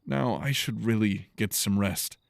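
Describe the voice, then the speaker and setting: A man acts out a stranded explorer in an audio drama, earnest and gentle. His voice sits low with a creaky, gravelly edge. He speaks in a measured, reflective way, in a very dry recording. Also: no room sound. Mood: reassuring.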